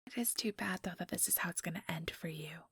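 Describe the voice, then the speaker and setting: A woman's voice: lowish voice, quick pace, dry recording, exaggerated voice. A woman playing an ambitious, coldly menacing female vampire in a whispered ASMR role-play, talking in a taunting, mock-sweet way close to the microphone. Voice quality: soft, breathy whisper. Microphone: close, dry microphone.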